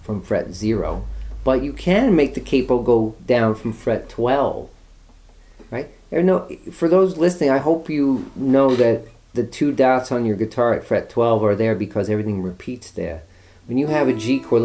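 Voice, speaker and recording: A man's voice: mid-range voice, animated, moderate pace, dark tone. A man giving a guitar lesson, patiently walking students through chords step by step. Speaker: guitar teacher. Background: guitar playing.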